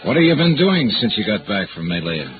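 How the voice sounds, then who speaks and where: A man's voice: lowish voice, big pitch swings, fairly quick, narrow, tinny audio. An American man playing a tough, world-weary insurance investigator in 1950s radio noir style, terse and hardboiled. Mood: terse, probing. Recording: old band-limited radio recording, loud music.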